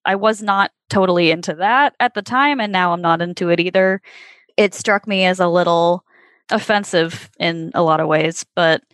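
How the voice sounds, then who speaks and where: A woman's voice: fairly low pitch, moderate pace, fairly bright tone, clear, resonant tone, dry recording. A woman in her twenties talks through her memories on a podcast in a thoughtful, reflective way. Her voice is close and dry, with no room sound around it. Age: 20s. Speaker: podcast guest, former child actor. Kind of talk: casual podcast conversation.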